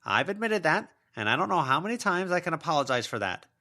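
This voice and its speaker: A man's voice: on the high side, very animated, quick pace, sharp tone, pitched above the performer's own voice, heavily acted. A man voicing the ghost of an older woman, pleading, aggrieved and full of excuses, in an audiobook. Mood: pleading, defensive.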